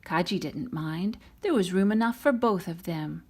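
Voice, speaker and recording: A woman reading a children's storybook aloud in an expressive, dramatic manner. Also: fairly low pitch, normal speed, husky. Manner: dramatic, voices the characters' lines. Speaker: storybook reader.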